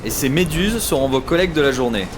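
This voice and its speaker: A man playing a brisk, bossy crew supervisor in an audio drama. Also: mid-range voice, animated, noisy background, lightly acted. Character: brisk male work crew boss. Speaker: work supervisor.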